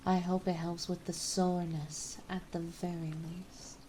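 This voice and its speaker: A woman playing a genteel, possessive vampire mistress in an ASMR roleplay, speaking softly, politely and soothingly. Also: lowish voice, little pitch movement, a little hiss or hum, natural voice, poor audio. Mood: soothing, tender. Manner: soothing, softly spoken, polite, unhurried, doting. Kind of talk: ASMR roleplay acting, partly whispered.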